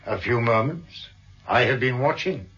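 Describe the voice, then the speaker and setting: An actor playing a suave, cold criminal mastermind in a vintage radio drama, speaking in a measured, pompous, formal way. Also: male voice, mid-range voice, animated, fairly bright tone, husky, heavily acted. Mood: cold, self-satisfied. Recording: dull, muffled vintage radio recording.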